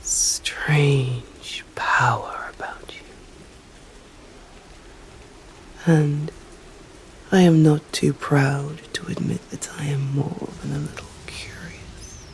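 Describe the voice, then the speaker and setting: A woman with an English accent voicing a stern, proud female vampire in an ASMR roleplay, speaking formally and deliberately and often slipping into whispers. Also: sits low, fairly even intonation, poor audio. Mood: intrigued, menacing. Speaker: voice actor. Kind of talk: ASMR roleplay, scripted dramatic monologue.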